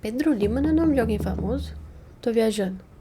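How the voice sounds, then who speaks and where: A woman's voice: a little high, animated, fairly quick. Casual Brazilian woman, noisy background. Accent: Brazilian Portuguese. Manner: playful, joking exaggeration. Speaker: reaction video host. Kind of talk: casual chat reacting to a horror video.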